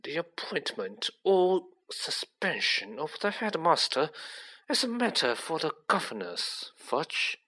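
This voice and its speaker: Storybook villain , played by a man: icy aristocrat, silky and superior, unhurried, higher-voiced. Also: big pitch swings, pushed and bright, full character voice, poor audio. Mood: smug, cold. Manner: smooth, drawling, condescending. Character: smooth, sneering, cold aristocratic male villain.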